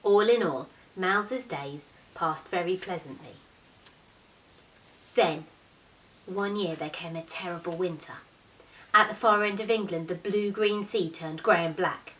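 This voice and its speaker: A woman in her thirties, a teacher, reading a picture book aloud expressively with measured, deliberate storytelling phrasing. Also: lowish voice, fairly bright tone. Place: small living room, indoors, on a sofa. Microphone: camera a few metres away. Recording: distant microphone in a living room.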